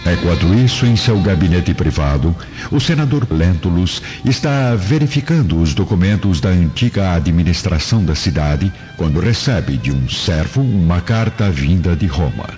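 A man's voice: low-pitched, animated, normal speed, darkish tone. A man narrating a radio drama with dramatic, scripted storytelling delivery. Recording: muffled lo-fi, music underneath.

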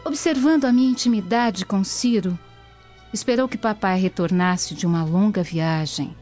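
An actress playing a tender, sorrowful young Roman granddaughter in a radio soap, earnest and formal. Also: female voice, medium pitch, normal speed, a little mellow, lightly acted. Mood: confiding. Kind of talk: dramatic radio soap acting. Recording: dull lo-fi, music behind.

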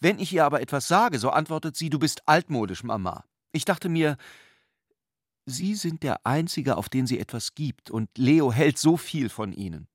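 A man's voice: on the high side, animated, moderate pace, dry recording, voice pushed up. A plaintive bourgeois mother of middle age as a male narrator voices her in a literary radio play. She speaks in an agitated, indignant, sighing way, close and dry. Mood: agitated, pleading.

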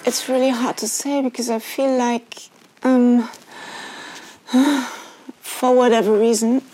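Slow, mellow woman in halting confessional. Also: fairly high pitch, fairly even intonation, poor audio. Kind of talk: reality-TV confessional monologue.

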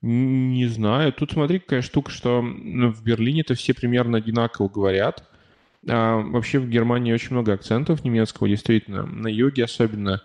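A man's voice: medium pitch, fairly even intonation, a little fast, a little mellow. A man chatting casually on a podcast, telling stories with many fillers and restarts. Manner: rambling storytelling with frequent fillers and restarts. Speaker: podcast guest living abroad. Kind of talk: casual chat.

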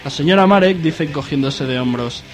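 A man's voice: a little high, brisk, faint music behind. A man reading a dramatised story as narrator.

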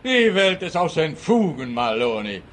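An actor playing a blustering, dim-witted, officious police officer in a comic radio play. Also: male voice, on the high side, very animated, a little slow, fairly bright tone. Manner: pompous, officious, threatening.

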